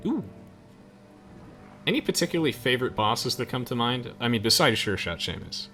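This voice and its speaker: Animated, bright-voiced young man commentating gameplay. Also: medium pitch, normal speed. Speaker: gaming video host. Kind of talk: casual let's play commentary. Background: faint game music.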